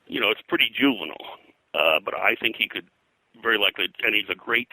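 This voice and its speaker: An older man with a very low, strikingly bright voice, recorded dry and close. He speaks as an interview guest, explaining and recollecting in detail with a halting flow. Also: animated, no room sound, low-quality recording. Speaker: interview guest, pop culture historian. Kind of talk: conversational interview, recollection and commentary.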